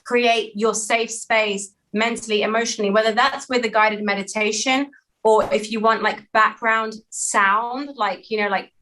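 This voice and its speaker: A woman's voice: on the high side, steady tone, moderate pace, bright, forceful tone, poor audio. A woman, speaking earnestly and reflectively as a podcast guest and repeating phrases for emphasis. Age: 30s. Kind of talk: candid personal interview.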